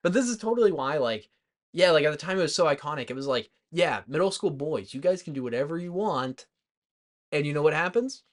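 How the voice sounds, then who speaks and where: Higher-pitched man chatting casually, rambling. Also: expressive, fairly quick. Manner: rambling, peppered with "like". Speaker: podcast co-host. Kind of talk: casual movie chat.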